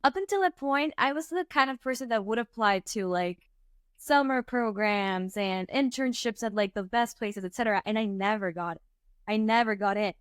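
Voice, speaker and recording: A woman's voice: mid-range voice, moderate pace, smooth, no room sound. Brief: woman in her twenties from Venezuela, guest, chatty, storytelling, dry close recording. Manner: animated storyteller, fillers like "like" and "um". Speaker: startup co-founder, guest. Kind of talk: casual interview talk.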